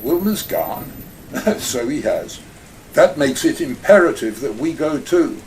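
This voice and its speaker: A suave, greedy villain who talks in smooth, pompous phrases in a radio play, played by a man. His voice sits at an ordinary middle pitch, with a faint hiss behind it. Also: expressive, takes it slowly, far from the mic. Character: suave greedy older male villain Gutman. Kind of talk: community radio play acting.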